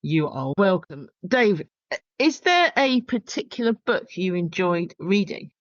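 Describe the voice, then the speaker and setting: Low-voiced woman podcast host, dry audio. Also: big pitch swings, measured pace, no room sound. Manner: encouraging, conversational. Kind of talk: interview hosting. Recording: dry, dull lo-fi call audio.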